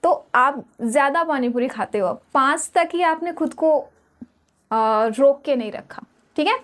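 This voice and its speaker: A woman's voice: high voice, very fast, a bit bright. A young woman with an Indian accent, a Hindi speaker, coaching listeners in an earnest, coaxing motivational lesson.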